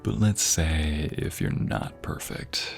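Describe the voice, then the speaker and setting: A man playing a doting, tender husband in an intimate ASMR roleplay, speaking softly and often slipping into breathy whispers. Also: very deep voice, slow, soft background music, heavily acted. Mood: earnest, tender. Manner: soft, breathy, lingering delivery dropping into whispers. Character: doting, tender husband comforting his sweetheart.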